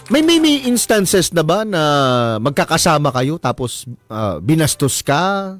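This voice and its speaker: Animated, higher-pitched Filipino male radio host. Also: unhurried. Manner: probing, mixes in English phrases. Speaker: radio host interviewing a guest. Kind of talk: conversational radio interview. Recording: radio studio with music underneath. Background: background music.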